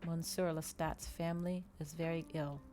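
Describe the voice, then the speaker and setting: Woman reading as a low, brooding male vampire. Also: fairly even intonation, normal speed, mellow, slight background noise, close to an everyday voice. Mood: grave, urgent. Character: brooding male vampire recounting his past. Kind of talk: ASMR storybook reading.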